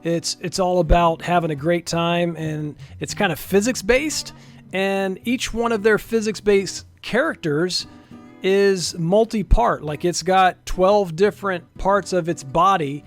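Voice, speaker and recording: Male developer, fairly high voice, informal and folksy screen-share walkthrough, guitar music behind him. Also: unhurried. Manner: informal and folksy, talks viewers through each step. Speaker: software developer presenting a demo. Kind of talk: casual screen-share tech demo narration.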